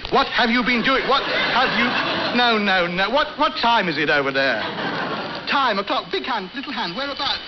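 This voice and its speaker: Muffled, noisy British radio ham. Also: male voice, extremely high pitch, animated, normal speed. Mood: exasperated, fussy. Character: exasperated, self-important amateur radio enthusiast, a man. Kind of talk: vintage British radio comedy monologue.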